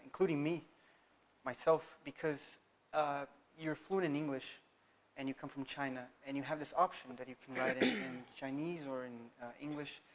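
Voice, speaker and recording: A man's voice: fairly high pitch, steady tone, moderate pace, a little hiss or hum. A young man, a student interviewer, asking questions in a friendly, encouraging way with frequent short affirmations. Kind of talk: casual interview.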